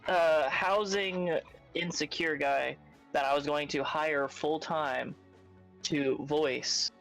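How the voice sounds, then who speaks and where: A man with a voice a little above the usual pitch chats casually with friends on a stream. He talks quickly and laughs at himself. Faint music runs underneath. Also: fairly even intonation, creaky, a bit bright, low-quality recording. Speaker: co-host on a game prep stream.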